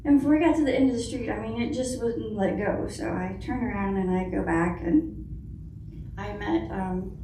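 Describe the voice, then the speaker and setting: A middle-aged woman with a soft voice, giving a hesitant personal testimony to an audience. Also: medium pitch, moderate pace. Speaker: guest speaker, foundation founder. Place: indoor conference room. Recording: roomy hall, distant mic, faint noise.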